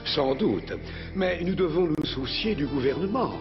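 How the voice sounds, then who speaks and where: A man's voice: a little high, lively intonation, dull audio, music in the background. A man playing a cold, scheming, power-hungry prime minister in a cartoon, speaking formally and pompously. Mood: falsely concerned. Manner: formal, pompous, slow gloating delivery. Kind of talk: French cartoon dub acting.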